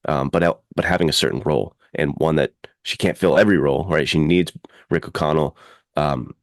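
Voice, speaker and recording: Man, very deep pitch, vocal fry, casual podcast talk, enthusiastic, informal rambling. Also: brisk, dry recording, studio quality. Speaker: podcast co-host and adventure fiction writer.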